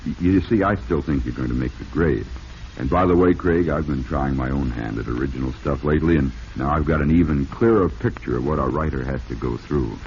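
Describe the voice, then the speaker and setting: An actor playing a genial, inquisitive literary critic in 1940s radio style, with a chummy, prodding manner. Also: male voice, rumbling low, a little fast, darkish tone. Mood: chummy, curious. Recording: muffled, noisy vintage radio recording.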